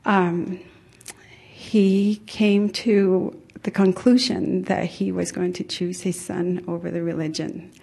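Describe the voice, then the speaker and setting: Middle aged woman from tonga telling her story to a group, really slow n halting. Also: on the low side, flat delivery, gravelly, dark tone, slightly rough. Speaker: attendee sharing personal story at a conference. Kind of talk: informal personal testimony to a group.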